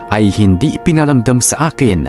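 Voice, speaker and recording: A man narrating a letter for a radio drama in measured phrases, with sentimental, dramatic delivery. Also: medium pitch, lively intonation. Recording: clear music bed behind.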